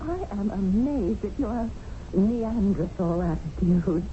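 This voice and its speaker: A woman's voice: medium pitch, expressive, slow pace. An actress playing a proper, righteous, easily indignant Englishwoman in a radio drama. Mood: scandalized, stubborn. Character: prim, idealistic, indignant Englishwoman newly in India. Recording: tinny, noisy old radio broadcast.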